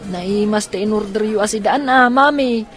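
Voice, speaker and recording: A woman's voice: medium pitch, moderate pace, over loud music, lightly acted. A woman playing a determined young girl in a radio drama, an older sister who talks in an eager, insistent way.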